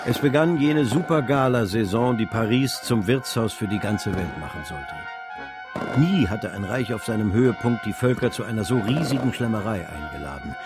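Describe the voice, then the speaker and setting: An actor as a lively, grand male chronicler. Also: fairly low pitch, normal speed, music in the background, lightly acted. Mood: grand, ironic. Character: theatrical male chronicler of imperial splendour, grandly ironic. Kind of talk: literary radio drama narration.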